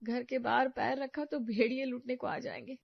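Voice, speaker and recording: A patient, put-upon young wife in a cartoon story who speaks with quiet resignation, voiced by a woman narrator. The audio is dull and lo-fi and sounds dry. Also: a little high, fairly even intonation, moderate pace, vocal fry, dry recording, pitched above the performer's own voice. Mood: resigned. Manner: sorrowful, resigned.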